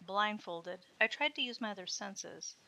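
Bright-voiced woman reading a story. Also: medium pitch, lively intonation, moderate pace, vocal fry. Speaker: story reader. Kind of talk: scripted first-person story narration.

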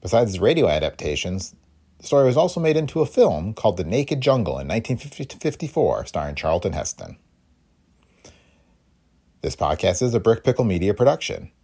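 A man's voice: on the low side, sing-song, fairly quick, dark tone, slightly rough. A man hosting a podcast, reading a scripted introduction. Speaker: podcast host.

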